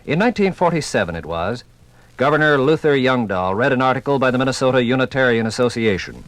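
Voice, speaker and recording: A man's voice: mid-range voice, animated, quick pace, no room sound. Grave 1950s American radio narrator, man. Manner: grave, emphatic, rhetorical. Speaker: documentary narrator.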